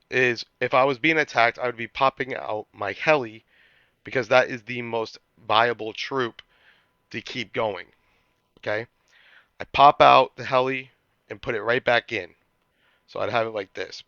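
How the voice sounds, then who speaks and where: Man, gaming channel host, recovering from a cold, bright clear voice, dry slightly dull recording. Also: medium pitch, no room sound. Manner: explanatory, with frequent restarts and throat clearing. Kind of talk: game strategy walkthrough.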